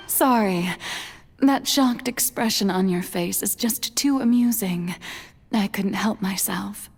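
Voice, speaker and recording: A woman voicing a playful, elegant, theatrical goddess in a video game, with lilting speech and breathy asides. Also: a little high, big-room sound, heavily acted.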